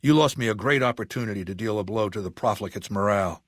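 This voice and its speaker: An actor playing an imperious, cruel middle-aged warlord dictator in a video game, speaking with commanding, sardonic deliberation. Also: male voice, on the low side, fast, fairly bright tone, dry recording, studio quality. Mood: stern, commanding. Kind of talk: video game character dialogue.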